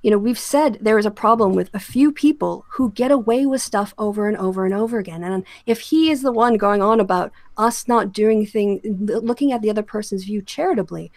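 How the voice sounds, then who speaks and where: A woman's voice: mid-range voice, a little fast, few pauses, darkish tone, no room sound. Emphatic woman delivering critical commentary, dry sound. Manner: emphatic, critical, direct address. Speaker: host of a discussion show. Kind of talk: opinionated commentary, rant.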